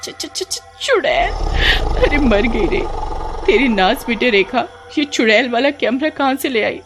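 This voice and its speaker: A woman playing a teasing, easily frightened young village woman in animated horror story dialogue, exclamatory and dramatic. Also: on the high side, a little slow, music in the background. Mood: terrified.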